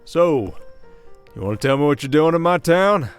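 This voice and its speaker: An actor playing a world-weary, gruff werewolf sheriff with an American Western drawl, folksy and scolding, in an ASMR roleplay. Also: male voice, fairly high pitch, lively intonation, normal speed, faint music behind. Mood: suspicious, exasperated. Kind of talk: ASMR roleplay acting, Old West.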